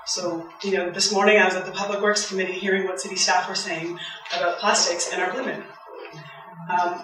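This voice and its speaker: Soft-voiced woman speaking in an echoey hall. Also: mid-range voice, recorded from a distance, a little hiss or hum. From Canada. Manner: chatty, eager, laughs often. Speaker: waste campaigner giving a public talk. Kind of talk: public talk. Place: event hall.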